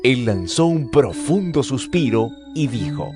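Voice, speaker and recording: A man narrates a scripture audio drama from a script. His mid-pitched voice has lively, varied intonation, and music plays clearly behind him. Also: unhurried.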